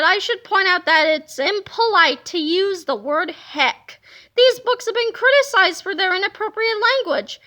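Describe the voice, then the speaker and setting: A woman reading a children's comic book aloud in a playful, animated way, slipping into silly voices. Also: very high-pitched. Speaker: reader.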